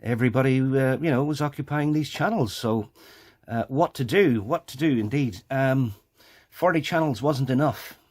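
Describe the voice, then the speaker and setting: A man presenting a hobby video in a casual, hands-on way, explaining as he goes and often thinking aloud haltingly. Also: mid-range voice, a little mellow.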